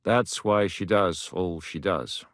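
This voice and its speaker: A gruff mercenary captain. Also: male voice, low-pitched, slow, fairly bright tone, no room sound, clean studio sound. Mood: steady. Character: grizzled male mercenary captain, blunt and steady. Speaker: mercenary leader. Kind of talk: video game voice acting.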